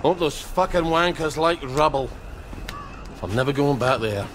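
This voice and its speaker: Actor as gruff ex-soldier, swooping and profane. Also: male voice, fairly high pitch, lively intonation, moderate pace, a little hiss or hum, lightly acted. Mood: agitated, bitter. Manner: blunt, profane, exclamatory. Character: gruff, sweary, haunted ex-soldier, stubborn and blunt. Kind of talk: video game voice acting.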